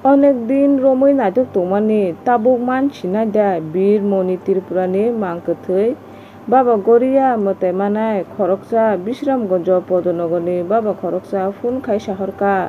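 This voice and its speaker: A woman's voice: mid-range voice, measured pace. A woman reading scripted narration in a news-report style. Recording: tinny narrow-band audio, faint noise.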